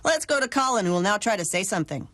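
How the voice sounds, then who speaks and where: An upbeat sports talk host played by an actor presents a spoof show in a radio ad. The delivery has lively pitch and polite deadpan, with faint music behind. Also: fast talker, no room sound, natural voice.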